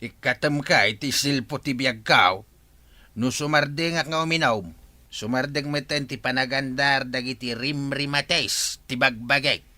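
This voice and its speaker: A man's voice: mid-range voice, steady tone, a little slow, bright, forceful tone, no echo. A man with an Ilocano accent acting a stubborn, hard-drinking village husband in a radio drama. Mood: defiant, joking.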